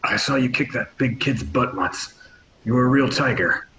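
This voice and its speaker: A man's voice: mid-range voice, normal speed, sharp tone, slight background noise, low-quality recording. A man playing an injured but commanding spaceship captain in a sci-fi table read, direct and encouraging. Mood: steady, groggy.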